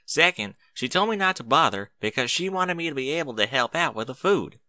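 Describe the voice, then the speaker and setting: Man voicing firm, practical farm mare, forceful. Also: medium pitch, lively intonation, brisk, no room sound, voice pushed up. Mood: patient, correcting. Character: hardworking farm mare, practical, bossy big sister.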